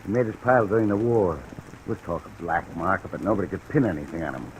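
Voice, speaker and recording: An American man playing a gruff, matter-of-fact police detective in an old-time radio drama. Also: sits low, quick pace, natural voice. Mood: businesslike. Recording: old radio recording, faint crackly hiss.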